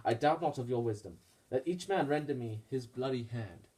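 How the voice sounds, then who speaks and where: Guy doing grieving vengeful roman noble, impassioned shakespeare puppet show speechifying, medium pitch. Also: lively intonation, moderate pace, mellow, close to an everyday voice. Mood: guarded. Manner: declamatory, impassioned.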